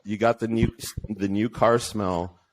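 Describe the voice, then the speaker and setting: A man with a lowish voice talks in a loose, rambling way. He restarts his sentences often and teases the others in the group. It sounds like a relaxed podcast conversation. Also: measured pace, rough recording. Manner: rambling, many restarts, teasing. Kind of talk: casual panel chat.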